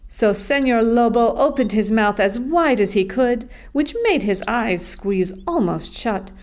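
A woman's voice: a little high, animated, measured pace, a little mellow. A woman narrating a scripted children's story as the narrator of a puppet show.

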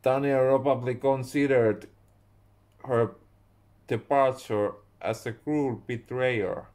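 A young man with a Finnish accent, a native Finnish speaker, slowly reading his own story aloud at home and stopping to correct his words. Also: mid-range voice, fairly even intonation, a little mellow. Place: small room indoors at home.

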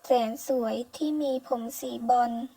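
A woman's voice: a little slow, dark tone, lightly acted, low-quality recording. A woman voicing a cheeky, food-loving young woman held captive in a comic-story dub, with chatty, playful delivery. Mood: bargaining. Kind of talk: comic-story dubbing read aloud.

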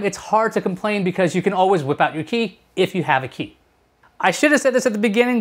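A man in his thirties hosting a casual tech review to camera, chatty and explanatory, often asking rhetorical questions. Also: sits high. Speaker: tech show host. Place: small furnished room at home, indoors.

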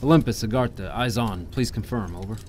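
A man playing a steady, commanding special-ops army captain in an audio drama, talking in clipped military phrases. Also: on the low side, brisk, a little hiss or hum, lightly acted. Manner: clipped military radio talk.

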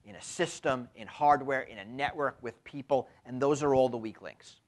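A man in his fifties giving a university lecture, speaking emphatically and often tagging his points with a quick question, recorded on a lapel mic in a lecture room. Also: mid-range voice. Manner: frequent tag questions, lively emphatic delivery. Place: lecture room with window blinds.

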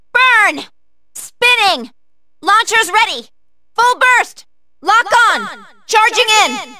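A woman's voice: very high voice, bright, forceful tone, no echo, full character voice. A woman playing an earnest, polite young female android fighter in a video game, calling out clipped, formal battle commands. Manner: clipped, formal machine-like status callouts.

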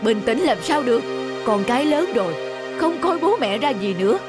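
A domineering middle-aged father from a cartoon played by a woman. He speaks sternly and with authority, with music clearly behind him. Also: fairly high pitch, animated, normal speed, natural voice. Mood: angry. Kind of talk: cartoon dub acting.

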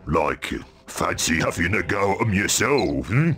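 Slow, deep-voiced brutish ogre. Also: male voice, big pitch swings, a bit bright, exaggerated voice. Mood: sly, casual. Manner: rough, slangy, dim speech. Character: big brutish, simple-minded male ogre henchman. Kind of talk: fantasy video game acting.

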